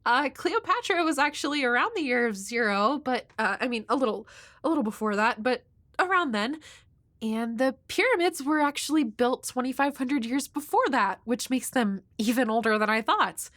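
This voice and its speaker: Woman playing a high-voiced, shy, nerdy young woman. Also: animated, normal speed, fairly bright tone, dry recording, studio quality. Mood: nerdy, eager. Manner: hesitant, rambling, nervously apologetic. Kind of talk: intimate audio roleplay addressed to the listener.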